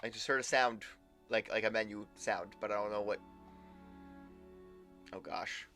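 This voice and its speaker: Halting male game streamer chatting over faint music. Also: fairly low pitch, fairly even intonation, bright, forceful tone. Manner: halting, repeats words, mild exclamations. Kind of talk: casual livestream chat and commentary.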